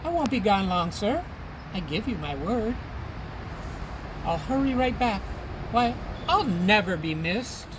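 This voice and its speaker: A man's voice: sits high, very animated, a little slow, long pauses, noisy background. A man voicing a lazy female storybook bird who coaxes and pleads in a sing-song way. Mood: pleading, breezy. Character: lazy, wheedling female bird wanting a vacation.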